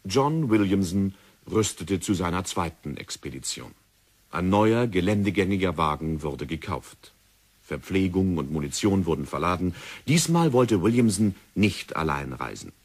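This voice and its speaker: A man's voice: low-pitched, big pitch swings, moderate pace, breathy edge. A man narrating an old radio play in a scripted storytelling manner.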